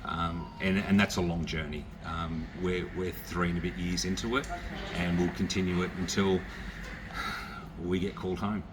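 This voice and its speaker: Deep-voiced Australian pastor, noisy recording. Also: male voice, level tone, moderate pace. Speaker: visiting pastor. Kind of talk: unscripted encouragement to a church congregation.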